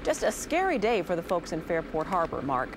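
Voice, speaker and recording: A woman anchoring the news from a TV studio, smooth and measured. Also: on the low side, big pitch swings, heavy background noise.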